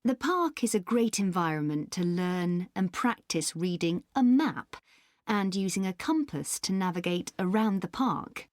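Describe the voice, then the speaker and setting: A woman playing a helpful country park staff member in a scripted dialogue, explaining things deliberately. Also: on the low side, animated, darkish tone, dry recording, studio quality. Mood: helpful, informative. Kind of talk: scripted listening-test dialogue.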